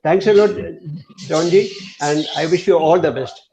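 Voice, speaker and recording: A man's voice: fairly high pitch, moderate pace, mellow, muffled recording, a little hiss or hum. A man from India speaking on an online meetup call, praising a friend and often saying "you know".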